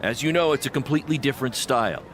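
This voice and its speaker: A man's voice: mid-range voice, rapid-fire, fairly bright tone, slight background noise, close to an everyday voice. An actor playing a self-assured, flippant wrestling promoter with a glib salesman's patter in a video game cutscene. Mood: confident, teasing. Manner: glib, upbeat salesman-like delivery. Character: fast-talking, self-assured small-time male wrestling promoter, cheerfully flippant.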